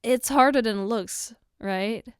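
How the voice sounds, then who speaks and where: A woman acts out a loving girlfriend speaking one-on-one in an ASMR roleplay. Her voice is high and takes its time, recorded dry and intimate. She is tender and reassuring, with a playful, coaxing tease. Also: a little slow, clear, resonant tone, dry recording, lightly acted. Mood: gently teasing, caring. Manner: tender, coaxing, soft giggles between phrases.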